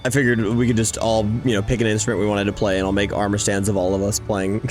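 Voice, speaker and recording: Man, fairly low voice, enthusiastic, informal gameplay commentary, music playing behind him. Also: level tone, fast. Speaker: video game vlogger, host. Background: game background music.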